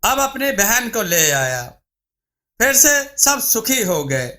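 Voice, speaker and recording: एक पुरुष, जो लिखी हुई नैतिक कहानी को भाव के साथ कथावाचक की तरह सुना रहा है।. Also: male voice, high voice, big pitch swings, slow pace, pushed and bright. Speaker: storyteller. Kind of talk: scripted Hindi moral story narration.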